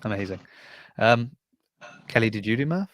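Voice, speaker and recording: A man's voice: fairly low pitch, animated, normal speed, a bit bright, no room sound. Upbeat teasing man, dry mic. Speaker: podcast host. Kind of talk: casual interview chat.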